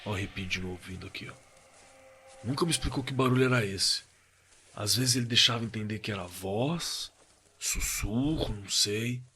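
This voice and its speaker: Male actor as shaken Brazilian working man, bright forceful voice, halting colloquial horror testimony for audio drama, some background noise. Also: on the low side. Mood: uneasy, remembering. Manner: halting, repetitive, colloquial, trailing off. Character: shaken working-class man recalling a frightening camping night. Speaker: eyewitness telling his own horror story.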